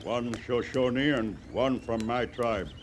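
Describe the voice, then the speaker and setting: A man's voice: medium pitch, slow, natural voice. An actor playing a weary, proud Cheyenne band leader and bereaved father in a radio western, speaking solemnly in formal, simple phrases. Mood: weary, wary. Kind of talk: 1950s radio western acting. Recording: noisy vintage radio western recording.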